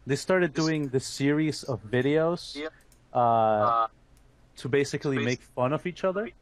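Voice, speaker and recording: A man's voice: mid-range voice, measured pace, dry recording. A man hosting a podcast, talking in a chatty, informal way like a fan among friends. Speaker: podcast host. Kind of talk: casual podcast chat.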